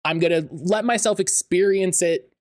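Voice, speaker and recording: A man's voice: high-pitched, moderate pace. A man hosting a podcast interview, rambling with lots of fillers and restarts. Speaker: podcast host.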